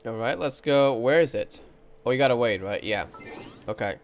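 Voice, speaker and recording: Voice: medium pitch, animated, moderate pace. A game streamer giving casual reaction commentary over gameplay, with game music behind. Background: faint game music.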